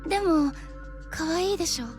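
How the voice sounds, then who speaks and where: Gentle hesitant young woman from a game, high pitched, music underneath. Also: quick pace. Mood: fond, gentle. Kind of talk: Japanese video game drama acting.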